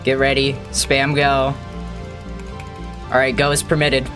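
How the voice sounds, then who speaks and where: A man's voice: mid-range voice, monotone, slow pace, over music. A young man chatting casually on a live stream while playing, rambling, joking and swearing freely.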